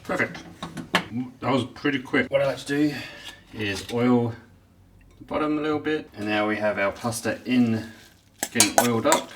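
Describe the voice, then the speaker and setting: A man's voice: fairly low pitch, vocal fry, slight background noise. A young man hosting a home cooking vlog, talking casually and rambling as he corrects himself mid-sentence. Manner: relaxed, rambling, self-correcting. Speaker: cooking channel host. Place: home kitchen.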